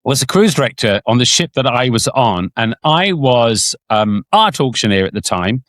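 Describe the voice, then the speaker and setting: A man, a podcast co-host and former cruise director, reminiscing in a casual, animated way. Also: mid-range voice, moderate pace, no room sound, studio quality. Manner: animated storyteller, puts on voices when quoting.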